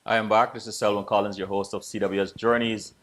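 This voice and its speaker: A man's voice: on the low side, flat delivery, fast talker, a bit bright. A man hosting an interview show, speaking in an effusive way that tends to repeat himself. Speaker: host.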